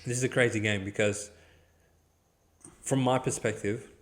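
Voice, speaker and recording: A man's voice: lowish voice, fairly even intonation, moderate pace. A man with an Australian accent hosting a sports podcast, talking in casual, blunt, slangy banter with plenty of swearing.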